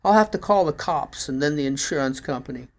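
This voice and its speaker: A man's voice: a little high, animated, brisk, pitched above the performer's own voice, close to an everyday voice. A man voicing a portly, robbed pawn shop owner in a story reading, agitated and complaining. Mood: rueful, annoyed. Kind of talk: acted dialogue in a story reading.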